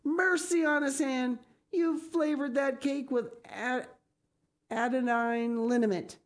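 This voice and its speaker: Woman putting on a shrill scolding older woman. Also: fairly even intonation, slow. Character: stern, exasperated older woman guardian.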